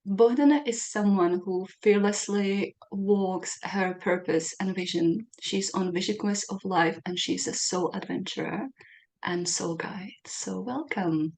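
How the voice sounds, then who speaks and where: A woman hosts a podcast interview in a relaxed, chatty way. She speaks at a middling pitch and keeps the talk playful, laughing often as she goes. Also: unhurried, poor audio. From Czech Republic. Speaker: podcast host. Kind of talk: casual interview chat.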